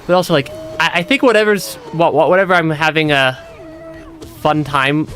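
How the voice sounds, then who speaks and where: A man streaming a video game, chatting casually and laughing often, stammering and repeating himself, with his voice going hoarse and cutting out. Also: on the high side, normal speed, a bit bright. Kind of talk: casual gameplay commentary. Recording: music playing behind.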